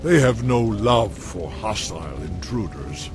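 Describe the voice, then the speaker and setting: An actor voicing a huge, wise old beast-man chieftain in a game cinematic, grave and stately with a rumbling voice. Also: animated, over music. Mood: solemn, resolute. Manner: solemn, deliberate, stately. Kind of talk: video game cinematic acting.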